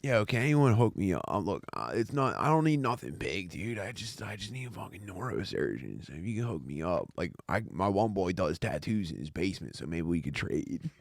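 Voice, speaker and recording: A man's voice: low voice, big pitch swings, fairly quick, gravelly, dry recording, clean studio sound. A man joking in profane slang and reading old posts aloud in a casual way. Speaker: narrator in an audiobook session.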